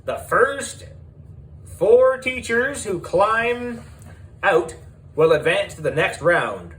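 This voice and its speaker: A man's voice: high voice, sing-song, slow, a bit bright, pitched above the performer's own voice, full character voice. A man voicing a brash female television presenter in a children's story, breezy and showy like an announcer. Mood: announcing.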